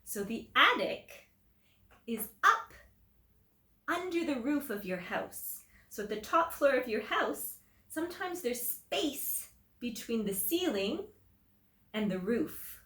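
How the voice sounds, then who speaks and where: A woman teacher reading a picture book aloud to children, explaining simply and deliberately and putting questions to her listeners. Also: a little high, big pitch swings, bright, forceful tone. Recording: roomy echo, distant microphone.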